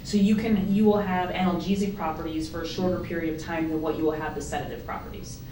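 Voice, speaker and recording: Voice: medium pitch, steady tone, quick pace, noisy background. A board member explaining veterinary anesthesia in a hesitant way, with many restarts. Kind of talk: board meeting discussion. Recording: roomy, distant meeting-room mic.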